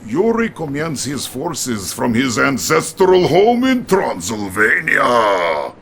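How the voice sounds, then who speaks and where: An actor playing a bombastic, scheming Soviet premier with a Russian accent and broken English in a video game cutscene. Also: male voice, big pitch swings, slow pace, fairly bright tone, a little hiss or hum, heavily acted. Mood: confiding, grave. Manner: bombastic, broken English, swings from booming to confiding. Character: boisterous, theatrical male Soviet premier, jovial and scheming.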